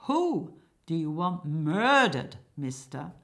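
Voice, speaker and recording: A woman's voice: mid-range voice, sing-song, measured pace, a little mellow, pitched above the performer's own voice. A woman voicing a brash hired killer in a comic stage play, punching key words with a salesman's delivery. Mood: menacing, emphatic.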